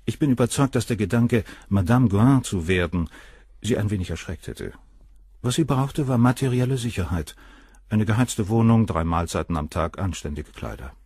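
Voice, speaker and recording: Haughty self-assured gent, low husky voice, talks down to whoever questions him, radio drama. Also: male voice, lively intonation, normal speed, darkish tone, no echo. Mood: cool, assured. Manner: condescending, matter-of-fact. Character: haughty, cool, self-assured gentleman lover under questioning. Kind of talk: radio crime drama dialogue.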